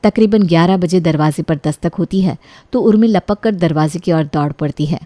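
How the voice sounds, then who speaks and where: A woman's voice: on the low side, moderate pace, mellow, no room sound. A woman reading a scripted dramatic story as its narrator.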